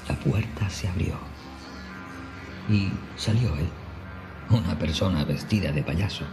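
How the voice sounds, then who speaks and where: A man with a very low voice narrates a scripted horror tale in the first person. His storytelling plays over clearly audible background music.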